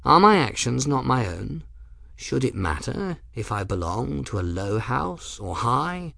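A man voicing a bookish, distracted middle-aged gentleman in a storybook, mild, wordy and given to absent-minded muttering. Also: medium pitch, big pitch swings, a little slow, gravelly, dry recording, studio quality, heavily acted. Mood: mild amusement.

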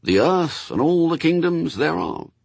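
Casting: male reader as persuasive, sardonic demon for a storybook, punchy and very expressive, dry sound. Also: on the high side, measured pace, vocal fry, husky, no room sound, pitched above the performer's own voice, full character voice. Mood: gloomy. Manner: punchy, insistent, colloquial.

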